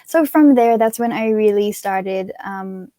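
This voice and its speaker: Mellow-voiced young woman, chatty and earnest. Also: medium pitch, measured pace, no echo, clean studio sound. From Australia. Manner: chatty, earnest, many fillers and restarts. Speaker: interview guest, pageant titleholder. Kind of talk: casual interview answers.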